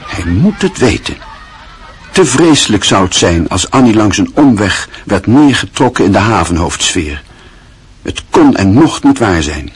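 A man with a very husky voice reading scripted literary narration for a radio drama. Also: on the low side, big pitch swings, unhurried.

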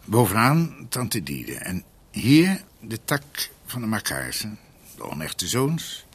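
An actor playing an ageing, scholarly doctor in a radio drama, earnest and brooding, halting as he searches for words. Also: lowish voice, wildly swooping pitch, slightly rough, heavily acted. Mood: earnest, absorbed. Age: older.

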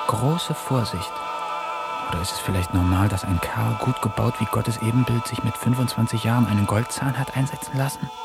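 A man's voice: medium pitch, a little fast, creaky, over loud music, close to an everyday voice. An actor playing an eccentric young poet who narrates his diary in a dry, ironic manner in a radio play. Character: eccentric young male poet, wants to talk with animals.

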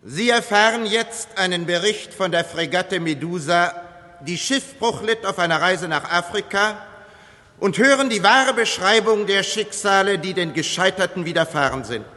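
A man's voice: sits high, animated, normal speed, pushed and bright. A man performing a solemn announcer who declaims the report of a shipwreck to an audience. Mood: solemn proclamation. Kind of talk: declamatory oratorio narration. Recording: reverberant hall.

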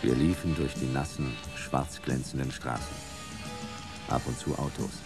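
A laconic noir private eye tells his case in a radio play. His voice is extremely deep and creaky, deadpan, with clear music behind it. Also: a little slow. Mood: terse. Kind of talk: scripted radio play narration.

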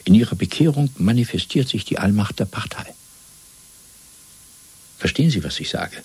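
A man playing a cold, fanatical party interrogator in a German radio drama, lecturing deliberately and domineeringly with a husky, breathy voice. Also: on the low side, animated. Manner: didactic, deliberate, swelling from hushed to emphatic.

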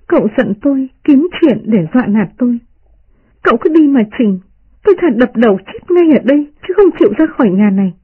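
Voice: high voice, expressive, a little fast, husky, narrow, tinny audio, pitched above the performer's own voice. A performer voicing a stubborn young maidservant woman, tearful, indignant and plaintive, in a storybook reading. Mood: hurt, indignant. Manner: plaintive, protesting.

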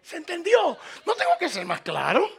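A man's voice: very high voice, wildly swooping pitch, moderate pace, creaky, fairly bright tone. A man with a Latin American Spanish accent preaching an impassioned, informal sermon, emphatic and repetitive, prodding his listeners to respond. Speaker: preacher taking questions from congregation.

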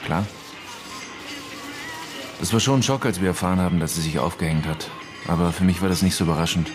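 Over clearly audible music, a male actor plays a witness with a very low voice. He answers questions in a radio crime drama bluntly and offhandedly. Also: brisk, close to an everyday voice. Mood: offhand. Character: blunt male former acquaintance of a dead girl, witness. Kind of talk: naturalistic radio drama dialogue. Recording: music behind the dialogue.

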